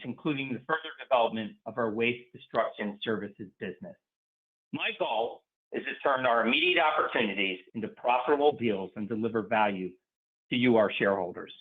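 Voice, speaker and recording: Formal male executive on a phone line. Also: medium pitch. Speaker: interim chief executive. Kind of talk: formal prepared remarks. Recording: thin phone-like conference line.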